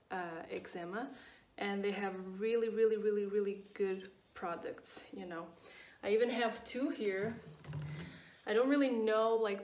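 A woman's voice: medium pitch, darkish tone, far from the mic. A woman of twenty-nine from Portugal, speaking English with a Portuguese accent, chats casually about her skincare routine, repeating words and using lots of fillers. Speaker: skincare vlogger. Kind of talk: casual product review. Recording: echoey room, voice off-mic.

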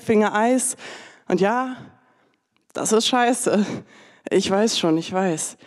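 A young woman performing her own poem at a poetry slam on a dark stage, delivered rhythmically and ironically into a stand microphone. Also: fairly high pitch, long reverb tail. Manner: rhythmic, ironic, rising to intense. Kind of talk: spoken word performance.

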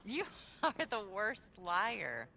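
An actress playing a wry, teasing young American journalist in a video game. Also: female voice, medium pitch, very animated, slow pace, vocal fry, bright, forceful tone, full character voice.

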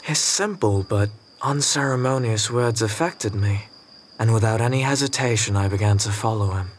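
A man playing a reflective, observant storyteller, narrating a radio drama in measured, literary phrases. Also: on the low side, slight background noise, lightly acted.

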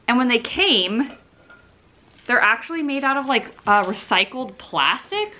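A woman's voice: a little high, expressive, unhurried, pushed and bright. A woman in her thirties chatting casually through a beauty haul at home, upbeat and full of "like" fillers, seated close to the camera. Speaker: beauty vlogger. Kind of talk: casual product haul chat. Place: living room at home, indoors. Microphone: camera mic, seated close to the camera.

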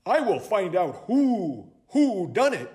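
Storybook owl sleuth, played by a man: self-important, theatrical, high and very animated. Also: slow, full character voice. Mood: earnest resolve. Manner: pompous, declamatory. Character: dramatic, self-important, excitable male owl detective. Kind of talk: children's storybook character voice.

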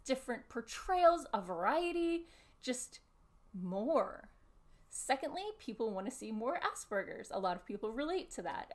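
Higher-voiced woman explaining earnestly. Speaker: video presenter summarizing a discussion panel. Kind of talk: explanatory talk to camera. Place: small furnished room at home, indoors.

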